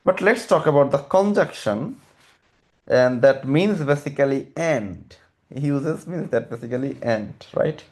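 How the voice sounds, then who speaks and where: Slow, halting male lecturer. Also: medium pitch, expressive. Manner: repeats and rephrases, halting restarts. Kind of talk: slow lecture explaining slides.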